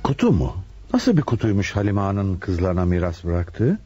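An actor in a radio drama playing a gruff but decent neighbour husband, husky, opinionated and disapproving. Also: male voice, low voice, wildly swooping pitch, normal speed, dark tone, exaggerated voice. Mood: curious. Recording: dull lo-fi radio recording.

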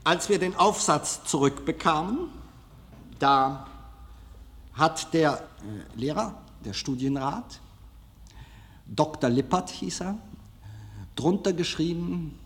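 A middle-aged man giving an anecdotal public lecture as a film director, speaking deliberately and rhetorically with dramatic pauses. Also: fairly high pitch, expressive, a bit bright. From Germany. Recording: reverberant lecture hall.

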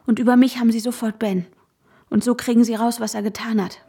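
A woman's voice: on the high side, little pitch movement, fast, vocal fry, noisy background. An actress in a radio drama playing a traumatised, obsessive woman who narrates her ordeal intensely and breathily, often dropping to a whisper. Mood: urgent, agitated. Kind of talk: radio drama acting with inner monologue.

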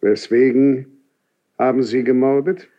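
An actor as a slow, imperious old inquisitor. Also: male voice, mid-range voice, steady tone, close to an everyday voice. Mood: cold authority. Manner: measured, severe, deliberate declamation. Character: stern, imperious, aged Cardinal Inquisitor. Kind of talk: classical German verse stage drama. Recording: slightly dull archival radio drama recording.

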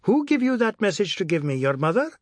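A male narrator acts out a defiant, grumbling husband in a storybook reading. He has a Caribbean accent and a raised, husky voice. He sounds indignant and mocking, and the sound is close and dry. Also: fairly high pitch, expressive, unhurried, no room sound. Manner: indignant, mocking, muttering at times. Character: irritable, jocularly defiant husband, grumbling and provocative.

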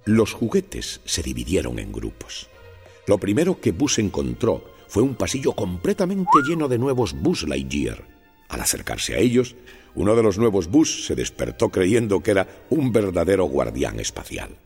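A man narrating a children's storybook with expressive storytelling emphasis. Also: sits low, normal speed, gravelly, husky. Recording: music playing under the voice.

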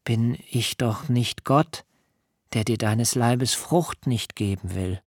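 An actor playing a weary husband who gently rebuffs his wife in a radio play, speaking in a measured way. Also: mid-range voice, no echo, clean studio sound. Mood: rebuffing.

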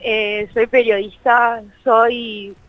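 A woman's voice: a little high, level tone, extremely slow, no room sound. Female phone guest, Buenos Aires accent, informal and giggly, dry slightly dull line. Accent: Buenos Aires Argentine. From Buenos Aires, Argentina. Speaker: interview guest, journalist. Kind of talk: casual phone interview. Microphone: telephone.

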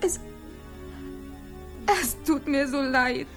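A woman's voice: high-pitched, fairly even intonation, very slow, soft background music, full character voice. An actress playing a desperate, frightened young addicted daughter in a German radio crime drama, stammering and restarting her pleading phrases. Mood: frightened, remorseful. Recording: 1980s studio radio play.

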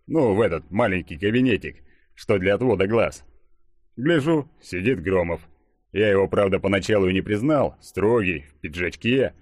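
A man voicing a burly, tipsy ex-soldier in an audiobook, gruff and suspicious, rambling colloquially. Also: fairly low pitch, a little mellow, dry recording.

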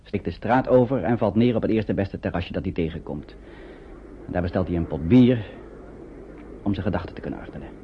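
A man narrating an old radio play as a calm storyteller, with scripted delivery. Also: sits low, fairly quick, lightly acted. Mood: calm, storytelling. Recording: dull, slightly noisy old radio recording.

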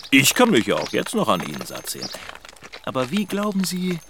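An actor playing a flirtatious, unsettlingly attentive man who teases in a smooth, sing-song way, in a radio drama. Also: huge pitch swings, fairly quick, noisy background. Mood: flirting. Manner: smooth, teasing, swings pitch widely.

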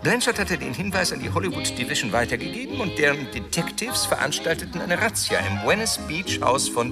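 Showy, creaky tabloid gossip columnist. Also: male voice, fairly high pitch. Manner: showy, declamatory. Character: sensational male 1930s tabloid gossip columnist reporting crime. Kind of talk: theatrical newspaper report reading in radio drama.

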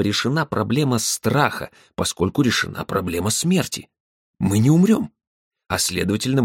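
A man narrating a literary first-person story in a measured, deliberate way, with dramatic pauses. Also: fairly low pitch, no echo, clean studio sound. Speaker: audiobook narrator.

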